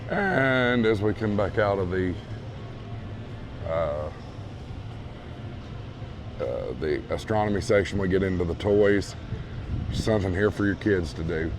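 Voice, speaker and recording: A hoarse man losing his voice, casually walking viewers through a large store and calling them folks. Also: low voice, expressive, measured pace, long pauses, noisy background. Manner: easygoing, repeats praise, addresses viewers as folks. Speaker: vlog host touring a store. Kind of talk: casual walkthrough narration. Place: large retail store indoors. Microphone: handheld phone camera.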